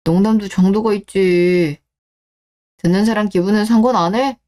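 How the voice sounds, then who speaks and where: A woman's voice: mid-range voice, moderate pace, mellow, close to an everyday voice. A woman playing an earnest, hurt wife and daughter in a scripted radio drama. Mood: indignant.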